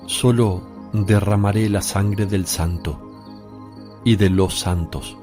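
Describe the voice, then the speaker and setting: An actor playing a calm, authoritative holy teacher with a solemn, measured delivery in a religious radio drama. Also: male voice, on the low side, over music. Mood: solemn, declamatory. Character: Jesus, calm authoritative teacher addressing disciples.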